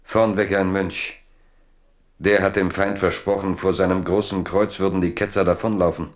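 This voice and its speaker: A man narrating a German radio drama as a measured, deliberate storyteller and chronicler. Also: low-pitched, level tone, natural voice. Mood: measured, suspenseful. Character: measured chronicler of kings and battles.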